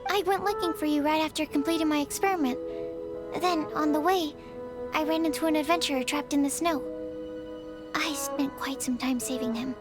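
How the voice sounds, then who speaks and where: A woman playing a studious young alchemist from a game, speaking in a very high voice with formal, polite wording. She stumbles over her words when flustered, and game music plays behind her. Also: steady tone, normal speed, natural voice. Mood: earnest. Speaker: game character. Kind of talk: video game cutscene dialogue.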